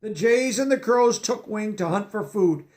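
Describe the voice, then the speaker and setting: Guy with a pretty high voice reading a kids picture book out loud, expressive, stops to explain words. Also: moderate pace. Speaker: storybook reader.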